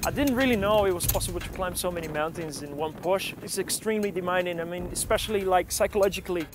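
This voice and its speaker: Thirty-something man, mountaineer, slightly high voice. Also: quick pace. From born in Argentina, raised in Brazil. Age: 30s. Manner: relaxed, with many fillers and restarts. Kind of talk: documentary interview and voice-over.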